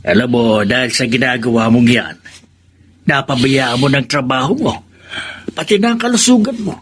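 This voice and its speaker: A man's voice: medium pitch, lively intonation, moderate pace, breathy edge. A man playing a patient, pious old grandfather in a Filipino radio drama, earnest and counselling, with a Filipino accent. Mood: gently admonishing. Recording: very loud music over the voice.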